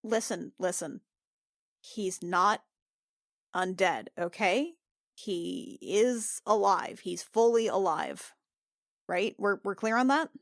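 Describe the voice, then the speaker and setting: Female healer adventurer woman acting, halting, anxious, insistent, earnest, medium pitch, dry sound. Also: gravelly, dry recording.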